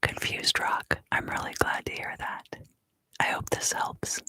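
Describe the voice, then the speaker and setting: A woman giving an ASMR card reading for a live stream in a soft, unhurried, often fully whispered voice, close to the microphone. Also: no echo. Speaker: ASMR tarot reader and live stream host.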